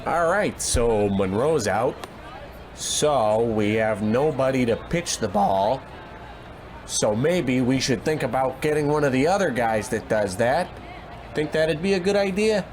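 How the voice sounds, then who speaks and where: A man playing a slow-witted, unhurried baseball coach with a plodding, questioning delivery in a radio comedy. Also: mid-range voice, lively intonation, slight background noise, natural voice. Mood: casually puzzled. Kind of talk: scripted radio comedy acting.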